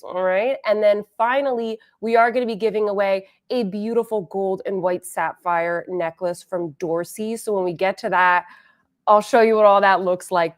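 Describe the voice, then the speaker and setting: A woman hosting a beauty livestream, chatting casually with viewers and reading their comments aloud, a little congested and sniffly. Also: medium pitch. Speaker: beauty channel host.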